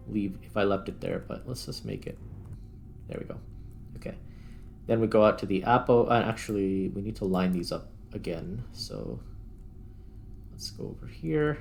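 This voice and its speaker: A man with a fairly low voice and a noticeable creak gives casual commentary over a video game. He thinks out loud in short, informal bursts as he works through each step, with music playing behind him. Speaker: gaming video host.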